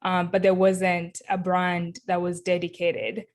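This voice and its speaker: A woman from Kenya answering interview questions over a video call, measured and thoughtful, with frequent ums. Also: on the low side, monotone. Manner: thoughtful, many ums and uhs. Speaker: guest, company founder.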